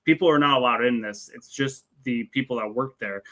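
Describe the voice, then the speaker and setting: A man's voice: mid-range voice, fairly quick, fairly bright tone. A man giving his opinions as a reviewer in a casual, conversational movie discussion.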